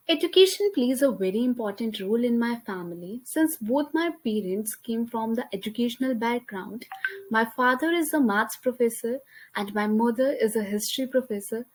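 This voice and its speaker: Young indian woman reading her slide presentation, a bit high voice, careful, few slips. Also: poor audio. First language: Hindi. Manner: careful, reads from slides with small slips and restarts. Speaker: student presenter. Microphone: computer mic, voice-over on slides.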